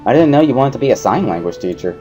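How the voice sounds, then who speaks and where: Casting: male let's play narrator, expressive first-person story reading over music. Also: mid-range voice, fast. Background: background music.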